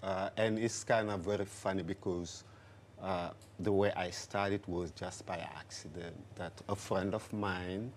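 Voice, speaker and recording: Brief: middle-aged man from Senegal, lowish voice, halting friendly explaining, faint studio hum. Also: steady tone. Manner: warm, halting, with many fillers and restarts. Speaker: dance teacher and guest. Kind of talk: studio interview.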